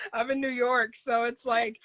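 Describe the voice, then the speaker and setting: A woman's voice: on the high side, flat delivery, a little slow, a loud crowd. A woman hosting a live video chat, upbeat, with frequent fillers and laughs. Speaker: host. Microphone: video call.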